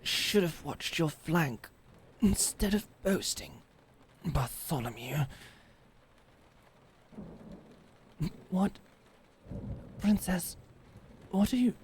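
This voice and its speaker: A woman's voice: lowish voice, animated, takes it slowly, pauses often, a little mellow, a little hiss or hum. A woman playing a sardonic, defiant lady knight in a medieval fantasy audio drama, with theatrical, formal phrasing. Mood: protective, threatening. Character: defiant, sardonic lady knight protecting her princess lover.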